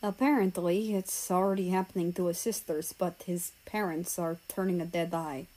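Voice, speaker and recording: A woman's voice: fairly low pitch, fairly even intonation, normal speed, mellow, natural voice, poor audio. A woman voicing an earnest, rambling teenage boy who confides hesitantly in his friends in a story. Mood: subdued, fond.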